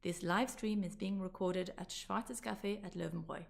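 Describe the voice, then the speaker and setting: A woman's voice: lowish voice, normal speed, vocal fry. A woman announcer reading a scripted announcement for a live-streamed event.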